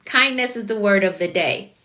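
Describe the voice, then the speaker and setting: A woman's voice: mid-range voice, normal speed, pushed and bright, low-quality recording. A woman with a Jamaican accent, a productivity coach giving a live-stream talk, repeating her key points and greeting viewers by name.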